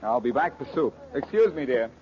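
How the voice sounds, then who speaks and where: Man playing cocky private detective, animated intonation, flip banter, 1940s radio acting, dull vintage recording. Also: medium pitch, fairly quick, lightly acted. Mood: playful. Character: cocky, breezy wisecracking male detective. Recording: muffled vintage radio, background crowd.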